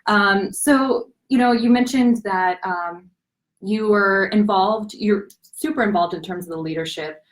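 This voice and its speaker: A woman's voice: mid-range voice, a little slow. A young woman interviewing a guest for a live online series, asking long, winding questions and affirming often. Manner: friendly, frequent affirmations, long multi-part questions. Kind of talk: casual live-stream interview.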